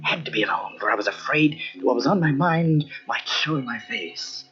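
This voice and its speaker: A man's voice: mid-range voice, animated, a little fast, fairly bright tone, lightly acted. An actor playing an obsessed, half-mad pianist who lost his hand, intense and theatrical in a vintage radio mystery. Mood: brooding. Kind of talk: vintage radio mystery acting with first-person narration. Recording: muffled vintage radio drama on cassette.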